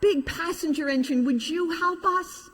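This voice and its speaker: A woman's voice: very high-pitched, a little slow, voice pushed up. A woman voicing a band of little toys and dolls in a storybook, exclaiming and pleading like children. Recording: reverberant hall.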